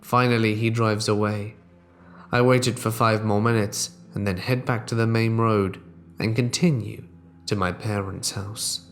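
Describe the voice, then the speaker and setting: A man reading true horror stories aloud as the host, in a measured, deliberate storytelling manner that drops quieter at tense moments. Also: lowish voice, fairly even intonation, faint music behind. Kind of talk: scripted narration of reader-submitted true horror stories.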